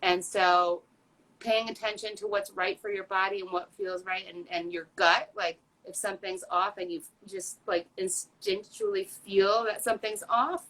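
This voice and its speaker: A woman's voice: medium pitch, normal speed, a bit bright, poor audio. A woman in her fifties talking candidly as an interview guest, earnest and chatty, with frequent fillers and restarts. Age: 50s. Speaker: patient advocate guest.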